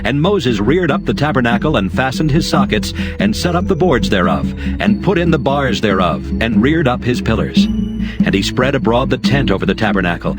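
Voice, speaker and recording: Male scripture narrator, mid-pitched, solemn, formal, measured delivery, very loud music behind. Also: very animated. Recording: voice under very loud background music.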